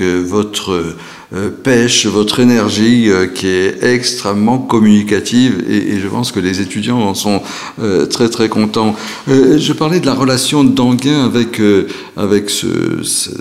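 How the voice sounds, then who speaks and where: A man hosting an interview who talks haltingly, with many restarts and fillers. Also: on the low side. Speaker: host. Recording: long reverb.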